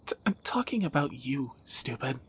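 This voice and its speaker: A man's voice: mid-range voice, very animated, normal speed, fairly bright tone, breathy edge, full character voice. A man playing a tender, wry young thief in a romantic audio roleplay, teasing and intimate, often dropping toward a whisper. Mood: tender, pleading. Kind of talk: romantic audio roleplay, one side of a dialogue.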